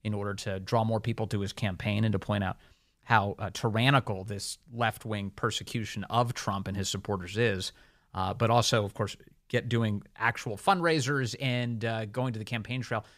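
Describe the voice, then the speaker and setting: An American man hosting a talk radio show, giving opinionated off-the-cuff news commentary in a halting delivery. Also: on the low side, fairly even intonation, a bit bright, no echo, studio quality.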